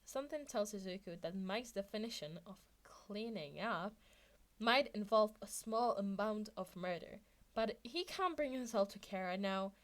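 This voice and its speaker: A woman's voice: medium pitch, expressive, moderate pace. A woman reading fan fiction aloud as a narrator. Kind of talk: story narration.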